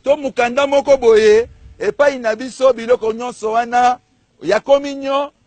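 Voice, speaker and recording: A man's voice: very high-pitched, fairly even intonation, measured pace, a little mellow, no room sound. A man with a Congolese accent preaching in a fiery, declamatory way, punching out emphatic rhetorical questions. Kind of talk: fiery street-style sermon. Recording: faint crowd behind. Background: faint crowd.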